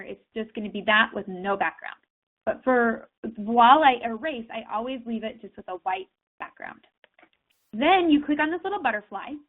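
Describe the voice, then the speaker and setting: A woman hosting a casual software tutorial, chatting straight to her viewers with lots of fillers while fighting a cold. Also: a little high. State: has a cold, sniffling. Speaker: tutorial host. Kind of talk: casual screen-share tutorial. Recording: tinny phone-like line.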